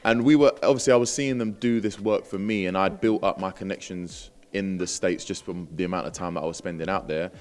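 A man's voice: low-pitched, steady tone, fairly quick, hardly any pauses, darkish tone. A man with a London accent telling stories casually as an interview guest, in slangy, laid-back phrasing. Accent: London English. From Britain. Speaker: interview guest, rapper and entrepreneur. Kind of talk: casual conversational storytelling.

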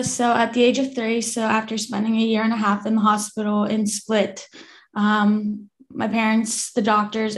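A woman in her twenties from Croatia, a podcast guest telling her story in a steady, matter-of-fact way. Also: medium pitch, vocal fry, echoey room. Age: 20s. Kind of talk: casual interview talk.

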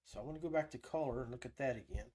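A man's voice: mid-range voice, rapid-fire, darkish tone. A man hosting a casual live tutorial, thinking aloud as he demonstrates each step. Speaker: podcast host giving a software tutorial.

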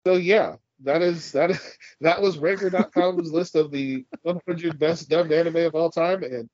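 A man's voice: a little high, normal speed, a little mellow, dry recording, dull audio. A man from California co-hosting a podcast, congested, rambling and swearing casually as he chats. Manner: profane, rambling, laughs often. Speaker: podcast co-host. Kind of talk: casual chat.

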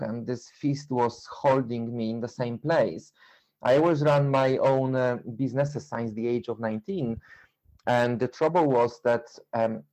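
A middle-aged man from Poland with a Polish accent, speaking reflectively and haltingly in an interview, repeating words as he goes. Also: mid-range voice, darkish tone, lo-fi sound. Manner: halting, repeats words, non-native phrasing. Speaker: interview guest, endurance runner and coach.

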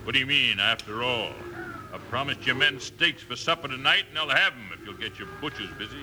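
An actor playing a brash, greedy American cattle rustler, pushy and blustering in rough frontier speech, in old western radio style. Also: male voice, mid-range voice, lively intonation, brisk, natural voice. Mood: cocky. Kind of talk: 1950s western radio acting. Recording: noisy old radio broadcast recording.

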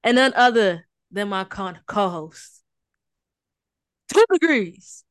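A young woman with a Southern drawl hosts a casual podcast, teasing her co-host and hyping the show. Her voice is smooth and clear, and it sounds close and dry, with no room around it. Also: mid-range voice, very animated, slow, no room sound. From Houston, Texas.

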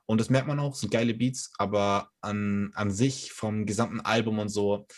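Laid-back young man, low voice. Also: steady tone, normal speed. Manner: laid-back, slangy, rambling with many fillers. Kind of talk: casual chat about rap albums.

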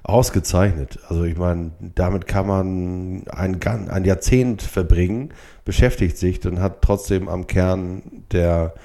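A middle-aged or older man hosting a solo podcast, speaking reflectively and haltingly, with short blocks and pauses from a stutter. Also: deep voice, steady tone, creaky, mellow. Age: 50s or older. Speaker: podcast host.

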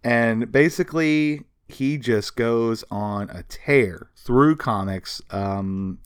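A man co-hosting a casual, comedic history podcast, telling stories from notes in an animated way with casual swearing. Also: mid-range voice, takes it slowly, clear, resonant tone, dry recording, clean studio sound. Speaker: podcast co-host.

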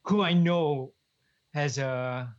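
A young man, co-hosting a podcast in relaxed, chuckling casual chat. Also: fairly high pitch, takes it slowly. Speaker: podcast co-host. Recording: dull lo-fi podcast audio.

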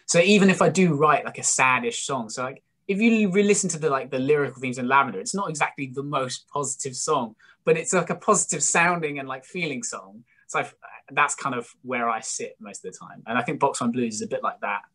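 A man's voice: medium pitch, fairly quick, bright, forceful tone. British man, early 20s, musician interviewee. Informal, self-mocking, jokey and meandering. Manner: self-deprecating, rambling, jokey. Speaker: guest, singer-songwriter musician. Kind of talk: casual chat interview.

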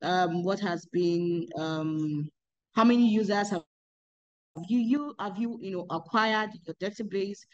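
A woman's voice: medium pitch, unhurried, a little mellow, lo-fi sound. A woman hosting an interview podcast, asking questions hesitantly with many "um"s and repeated words. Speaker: podcast host.

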